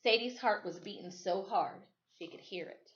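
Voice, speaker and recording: A woman reading a children's story aloud as expressive storytime narration. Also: mid-range voice, normal speed, lo-fi sound. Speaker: storytime reader.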